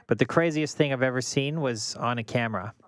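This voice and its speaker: Chatty traffic reporter, dry booth. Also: male voice, medium pitch, brisk, no room sound. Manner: chatty, rambling, reads viewer questions aloud. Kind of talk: casual live-stream chat with on-air traffic reports.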